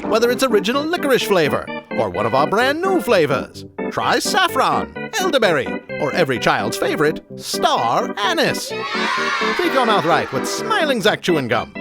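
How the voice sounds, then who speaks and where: A man's voice: huge pitch swings, normal speed. A man playing a cheery, salesy old-time radio announcer who narrates and reads a sponsor ad. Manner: showy, salesy sing-song pitch. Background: music.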